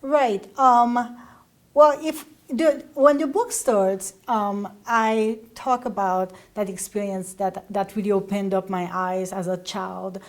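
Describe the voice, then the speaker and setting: A woman's voice: mid-range voice, fairly even intonation, takes it slowly. A woman in her thirties from Haiti, telling personal stories conversationally as an interview guest.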